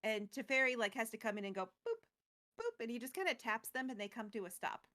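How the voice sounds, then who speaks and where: A woman's voice: fairly high pitch, sing-song, quick pace, pushed and bright. A woman co-hosting a casual recap of a fantasy story, telling it playfully and acting out bits as she goes. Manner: playful, acts out quotes and sound words. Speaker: co-host recapping a fantasy card game story.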